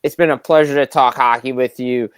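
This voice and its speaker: A man's voice: mid-range voice, fairly even intonation, brisk, clear, resonant tone, no room sound. A man hosting a podcast, speaking politely to his guest in a conversational presenting style. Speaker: podcast host. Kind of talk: podcast sign-off, polite thanks.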